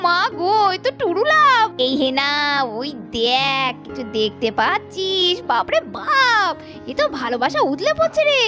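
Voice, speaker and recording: A woman's voice: very high voice, wildly swooping pitch, unhurried, full character voice. A woman voicing a chatty, playful young doll-family woman in a cartoon, exclamatory, over music. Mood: teasing. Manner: gushing, teasing, exclamatory. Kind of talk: doll cartoon story voice acting. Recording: faint music behind the voice.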